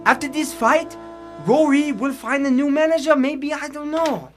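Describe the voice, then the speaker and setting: Elderly, nervous boxing manager, very high pitched, meek and hesitant, 1940s radio acting. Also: male voice, fairly even intonation, faint music behind. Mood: anxious pleading. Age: older. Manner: halting, hesitant, pleading. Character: timid, anxious old immigrant fight manager, pleading and humble.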